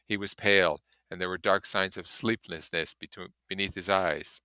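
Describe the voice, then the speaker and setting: A man's voice: sits low, steady tone, normal speed, sharp tone, clear, resonant tone, no room sound, clean studio sound. A man reading a story aloud in an audiobook style, with casual commentary of his own. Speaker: reader and commentator.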